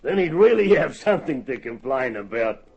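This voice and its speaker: Crafty country man, lively pitch, colloquial, mock-polite, old radio drama, dull lo-fi recording. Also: normal speed, breathy edge. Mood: breezy, sly. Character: crafty, bullying rural man feigning innocence.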